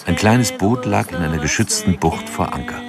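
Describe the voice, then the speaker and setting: A man narrating a radio drama in a measured, grave tone. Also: low voice, expressive, over loud music. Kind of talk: scripted narration.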